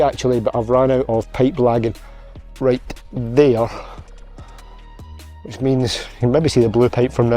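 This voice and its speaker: Hoarse young man vlogging in a warehouse. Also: medium pitch, dark tone. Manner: chatty, upbeat, talks while working. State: hoarse, losing his voice. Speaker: vlog host. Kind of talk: casual vlog chat to camera. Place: large industrial warehouse. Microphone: handheld camera at arm's length.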